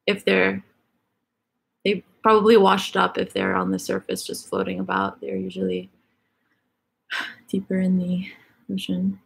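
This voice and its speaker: A woman's voice: medium pitch, creaky, husky. A woman whose first language is Egyptian Arabic, chatting casually on a live stream and reading out viewers' questions. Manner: chatty, filler-heavy, reads questions aloud.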